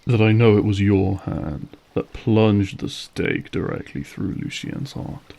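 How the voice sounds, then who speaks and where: Here is a suave vampire lord, acted by a man, with a dark, mellow voice that creaks at the edges. He toys with his captive in formal, mocking phrases, drawing out every threat with silky menace in a scripted audio drama. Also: fairly low pitch, slow pace, full character voice. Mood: gloating, taunting. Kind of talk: scripted audio roleplay acting.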